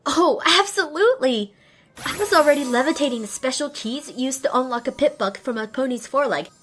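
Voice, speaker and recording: A woman's voice: high-pitched, brisk, slight background noise. A young woman voicing an earnest, self-deprecating young female pony apprentice, narrating an audio drama expressively and wryly. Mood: flustered. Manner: expressive, wry. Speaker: lead and first-person narrator.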